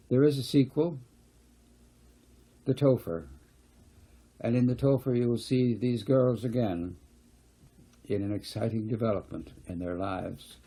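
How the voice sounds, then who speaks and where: A man's voice: on the low side, warm tone. A man narrating his own novel in a storybook reading style.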